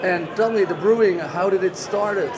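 Fields: Sex: man. Role: interviewer. Pitch: high. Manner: short, simple questions with non-native grammar. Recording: busy festival crowd behind. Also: normal speed, poor audio. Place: indoor beer festival hall. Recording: crowd chatter from a beer festival.

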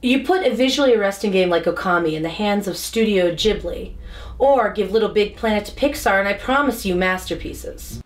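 A young woman acting a dry, confident geek with deadpan delivery in a scripted comedy sketch. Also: medium pitch, brisk, natural voice. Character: dry, confident female geek who trumps the men. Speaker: actor in a web comedy sketch. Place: small furnished room indoors. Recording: echoey room sound.

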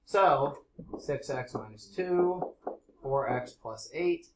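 A man's voice: a little high, slow, darkish tone. Congested man teaching a math class. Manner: casual, chatty explaining with fillers. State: congested from allergies. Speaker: high school math teacher. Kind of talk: classroom lesson.

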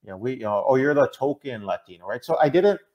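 A man's voice: mid-range voice, animated, fast talker, highs cut off. A middle-aged man from the American Midwest giving earnest, conversational interview answers, often checking in with "right?". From Minnesota, United States. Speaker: interview guest, diversity consultant. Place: indoor room, on a video call. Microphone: video call microphone.

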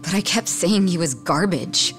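A woman's voice: on the low side, animated, normal speed, a bit bright, faint music behind. An actress playing a blunt, wounded young woman in a video game, speaking frankly and with emotion. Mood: regretful. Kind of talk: English game voice acting.

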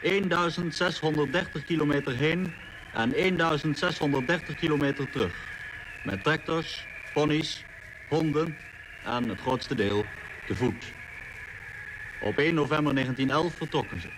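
A man's voice: on the high side, moderate pace, natural voice. A man playing the narrator of an expedition in a radio play, reading in scripted documentary style. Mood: measured, factual. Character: male narrator chronicling a polar expedition. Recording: noisy old radio recording.

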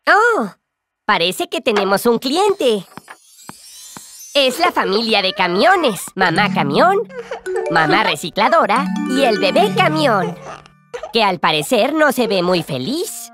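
A woman's voice: medium pitch, sing-song, slow pace, over music. A woman playing a cheerful, helpful gecko mechanic in a children's cartoon, exclaiming and explaining things to young viewers. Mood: cheerful, reassuring. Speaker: cartoon lead and narrator. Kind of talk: animated children's show dialogue dubbed in Spanish.

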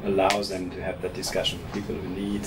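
A man from Switzerland in his thirties, a professor answering interview questions in a small office in a measured way, often restarting his words. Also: deep voice, level tone. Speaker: actuarial professor being interviewed. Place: small office meeting room, seated at a table. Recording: room recording with audible air conditioning hum.

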